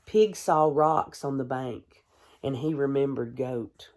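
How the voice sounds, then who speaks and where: A woman, a kindergarten teacher, reading a storybook aloud to young children in a gentle, expressive way. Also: a bass voice. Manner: gentle, hushed, expressive storytelling with deliberate pauses; addresses young listeners with simple questions.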